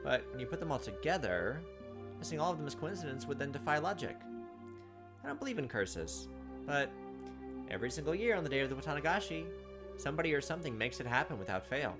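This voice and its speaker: A man's voice: fairly high pitch, sing-song, fast talker, over music. A man doing a visual novel let's play, reading aloud expressively and adding sarcastic asides. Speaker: let's player.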